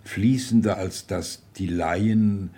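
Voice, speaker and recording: A man in his eighties, an actor, talking in a halting, ruminative way for a radio piece. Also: low-pitched, warm tone, slightly rough. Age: 80s.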